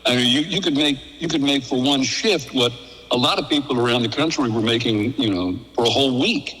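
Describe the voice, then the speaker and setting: An older man talks casually as a guest, reminiscing like a radio veteran. His voice is bright and forward at a medium pitch, carried in a long reverberant tail. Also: fairly quick.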